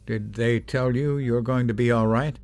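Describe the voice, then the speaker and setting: A man's voice: medium pitch, steady tone, normal speed, a little mellow, clear, resonant tone, soft background music, natural voice. An actor playing a middle-aged professor, a stern yet anxious father, in a radio drama. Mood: concerned, firm.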